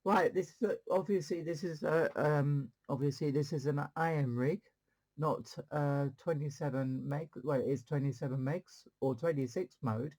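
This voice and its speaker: A man's voice: a little high, moderate pace, a little mellow. A man hosting a casual CB radio demonstration, rambling along and repeating his phrases. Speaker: CB radio channel host.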